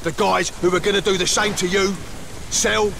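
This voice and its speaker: An actor voicing a gruff, bitter, battle-worn squad leader in a video game, clipped and urgent. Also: male voice, sits high, steady tone, moderate pace. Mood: insistent, exasperated. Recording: noisy game scene with rain.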